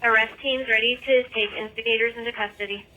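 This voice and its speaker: A woman's voice: fairly high pitch, steady tone, fast talker, bright, forceful tone. A woman dispatcher broadcasting firm, procedural instructions to units over police radio. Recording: muffled police radio transmission.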